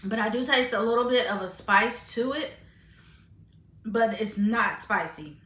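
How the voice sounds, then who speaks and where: A woman's voice: on the high side, measured pace, a bit bright. Chatty woman in an echoey room. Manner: chatty, frequent fillers and restarts; eats while talking, tasting food on camera. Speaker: vlogger reviewing grocery finds. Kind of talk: casual chat to camera.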